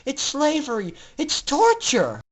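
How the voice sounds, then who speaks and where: A man voicing a brave, defiant young pony student who yells with impassioned outrage in a story reading. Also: very high-pitched, wildly swooping pitch, slow pace, voice pushed up, heavily acted. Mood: outraged. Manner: yelling, impassioned. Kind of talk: dramatic fan fiction reading.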